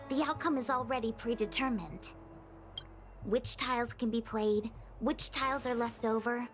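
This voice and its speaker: High-voiced spirited young diviner woman, acted. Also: lightly acted. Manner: earnest, expressive. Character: spirited young female diviner, defiant, loyal, cheeky. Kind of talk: video game voice acting.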